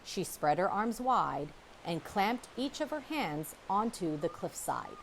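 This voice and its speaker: A woman reading a children's chapter book aloud, with expressive, measured storytelling and dramatic pauses. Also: mid-range voice, slight background noise, low-quality recording. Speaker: storybook reader. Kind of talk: children's audiobook read-aloud, scripted narration.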